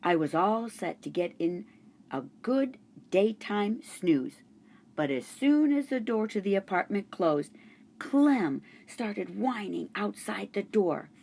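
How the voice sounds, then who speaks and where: A woman reading a children's chapter book aloud, narrating in first person with an expressive storytelling voice. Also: mid-range voice, takes it slowly, vocal fry, slight background noise. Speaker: storybook reader.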